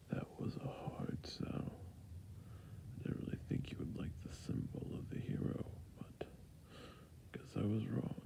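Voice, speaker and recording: A man playing a softly whispering fantasy shopkeeper. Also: heavily acted. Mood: mildly doubtful. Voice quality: breathy soft near-whisper with creaky low ends. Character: friendly patient fantasy shopkeeper selling adventuring gear. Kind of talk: ASMR roleplay, whispering and soft speech.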